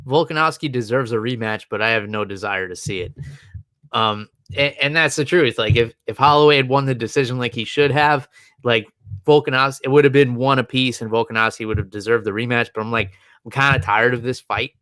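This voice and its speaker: A man's voice: mid-range voice, brisk, fairly bright tone, no echo. A man co-hosting a casual sports podcast, rambling with lots of fillers and restarts. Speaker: podcast co-host. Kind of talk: casual chat.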